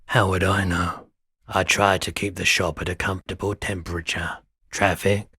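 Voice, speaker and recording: A man playing a weary, gentle, eccentric middle-aged pawnshop keeper in a video game, with a laid-back, polite and musing manner. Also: deep voice, level tone, normal speed, slightly rough, no echo, clean studio sound, heavily acted. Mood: laid-back, wistful. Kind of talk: video game character dialogue.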